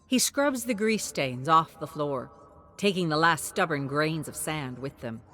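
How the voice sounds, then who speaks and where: A woman reading scripted storybook narration in a measured, expressive storytelling manner. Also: low-pitched, faint music behind. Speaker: narrator of character lore.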